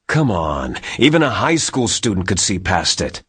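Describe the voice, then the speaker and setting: Husky, suspicious military adviser. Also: male voice, sits low, sing-song, normal speed, a bit bright, heavily acted. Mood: insistent, suspicious. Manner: conspiratorial, insistent. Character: gruff, suspicious older male military adviser on the radio. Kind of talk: dramatic video game acting.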